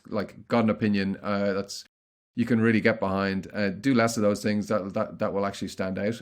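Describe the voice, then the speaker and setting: Middle-aged male guest, rapid delivery, somewhat low voice, loose restarts. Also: vocal fry. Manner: quick, chatty, frequent restarts. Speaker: guest, content marketing consultant. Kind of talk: casual interview answers.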